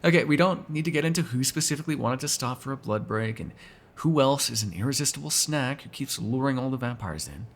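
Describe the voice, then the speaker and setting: A man voicing a teasing, sarcastic vampire with a gravelly, husky voice in an ASMR roleplay. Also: medium pitch, lively intonation, fast. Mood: teasing, exasperated. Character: snarky, teasing male vampire captive, predatory yet fond. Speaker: voice actor in audio roleplay.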